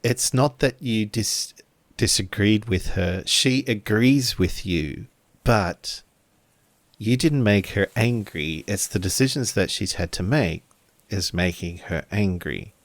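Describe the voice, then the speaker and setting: An earnest young-hearted samurai-era companion played by a man in a tabletop game. His voice is low, and he speaks politely and haltingly, stumbling over his words as he tries to smooth things over. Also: animated, no echo. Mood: earnest reassurance.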